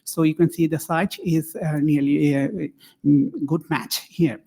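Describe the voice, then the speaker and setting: A man's voice: on the high side, little pitch movement, measured pace, creaky, darkish tone. A man from Bangladesh presenting research at a conference, talking to the room with many fillers and a friendly manner. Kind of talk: academic conference talk.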